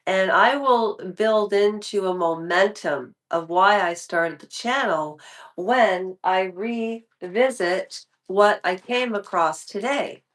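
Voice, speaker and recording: Slow, rambling woman in her fifties. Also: mid-range voice, fairly bright tone. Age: 50s. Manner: rambling, restarts and trails off mid-thought. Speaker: YouTube commentary creator. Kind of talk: casual vlog talk to viewers.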